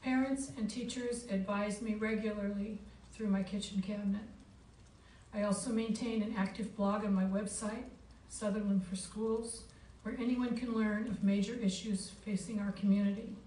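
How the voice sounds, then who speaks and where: A woman with a soft-edged, mellow tone and medium pitch gives a candidate's speech. She sounds distant, in an echoing board room. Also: steady tone, normal speed. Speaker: school board incumbent candidate. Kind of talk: prepared campaign speech. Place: public forum in a board room. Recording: echoey boardroom from far off, faint noise.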